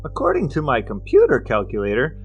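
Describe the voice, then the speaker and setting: A man's voice: medium pitch, huge pitch swings, brisk, dull audio, faint music behind. A man co-hosting a podcast, relaying researched facts in a dry, matter-of-fact way. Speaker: podcast co-host. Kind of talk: informative podcast talk, reading out researched facts.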